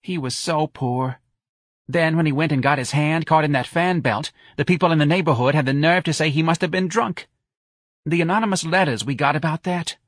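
A man performs a middle-aged New Orleans mother, pitched high and full of feeling. She drawls in a plaintive, folksy way and is easily swayed. It is an audiobook character in a very dry recording. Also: fairly quick, no room sound, lightly acted. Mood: plaintive, aggrieved. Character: emotional, pliable middle-aged Southern mother, woman.

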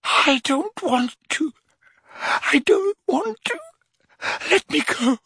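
A man voicing a tormented old wizard who begs and sobs in high, broken cries. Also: lively intonation, slow pace, creaky, pushed and bright, no echo, clean studio sound, heavily acted. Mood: anguished. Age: older.

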